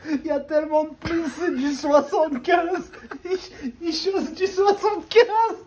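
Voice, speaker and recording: A man's voice: extremely high pitch, fairly even intonation, a little slow, darkish tone. A young man chatting casually for a vlog, teasing a friend and laughing, recorded on a handheld phone. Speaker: vlog co-host, coaching trainee. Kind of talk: joking casual chat.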